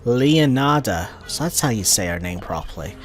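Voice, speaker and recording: A man's voice: mid-range voice, animated, moderate pace. A man giving casual commentary while playing a video game. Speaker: let's play host. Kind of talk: casual game commentary, reads dialogue aloud. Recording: game music behind the voice. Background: video game music.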